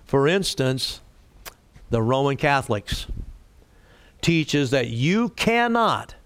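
A man preaching a sermon and leading a prayer, his voice raspy today, speaking deliberately and rising for emphasis. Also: medium pitch, sing-song, a bit bright, no room sound. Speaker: pastor.

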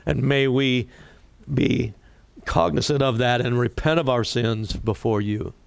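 A man preaching a sermon and leading a prayer, his voice raspy today, speaking deliberately and rising for emphasis. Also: mid-range voice, fairly even intonation, no echo. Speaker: pastor.

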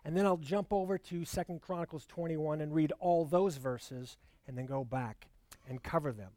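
A man preaching a sermon and reading scripture aloud in an earnest, measured way, slowing down on key words. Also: fairly high pitch, warm tone, no room sound.

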